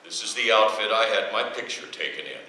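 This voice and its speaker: A man playing a pompous, booming-bright irritable father. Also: fairly quick. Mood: pompous irritation. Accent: American. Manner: pompous, theatrical, emphatic diction. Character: pompous, irritable middle-aged father and school principal. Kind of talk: old-time radio comedy acting. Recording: echoey, faintly noisy stage recording.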